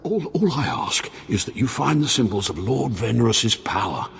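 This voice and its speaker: An actor playing an anxious former slave posing as a grandiose Sith lord in a video game, husky, theatrical and faltering. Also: male voice, mid-range voice, very animated, normal speed, fairly bright tone, exaggerated voice. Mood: pleading, cautioning. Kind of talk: video game cutscene acting. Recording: long hall reverb.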